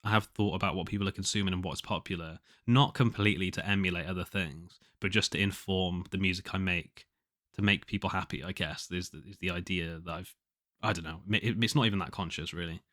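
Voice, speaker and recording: A man with a low but nasal voice, chatting casually on his own as if keeping an audio diary, rambling and hesitant as he thinks aloud. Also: fairly bright tone. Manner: hesitant, rambling, self-questioning. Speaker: podcast host, musician.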